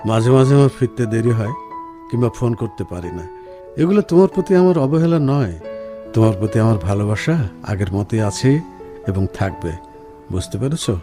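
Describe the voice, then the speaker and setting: A man acting an affectionate, well-off husband in a radio play, talking tenderly and gently persuading his sensitive wife. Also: on the low side, sing-song, moderate pace, long pauses. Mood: earnest, tender. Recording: music under the dialogue. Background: background music.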